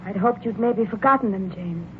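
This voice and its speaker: An actress playing a jealous, anxious wife, plaintive and emotional, in 1940s radio drama style on a noisy, muffled old recording. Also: female voice, mid-range voice, moderate pace, close to an everyday voice. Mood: wistful, uneasy. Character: jealous, anxious young-hearted wife, fretful and pleading.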